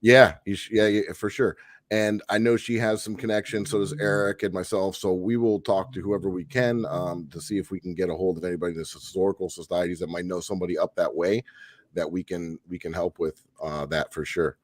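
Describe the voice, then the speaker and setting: Very low-voiced host guy, friendly, rambles a lot, dry close sound. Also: little pitch movement, fairly quick, no echo. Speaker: podcast host.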